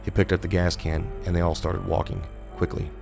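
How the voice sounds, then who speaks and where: A man's voice: low-pitched, level tone, fairly quick, vocal fry, over music. A man reading scripted horror fiction as an audiobook narrator.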